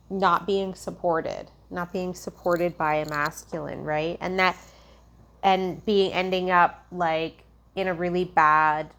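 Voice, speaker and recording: A woman giving a tarot reading in a loose, meandering way, full of fillers and restarts. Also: lowish voice. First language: English. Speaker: tarot reader. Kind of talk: casual spiritual tarot reading.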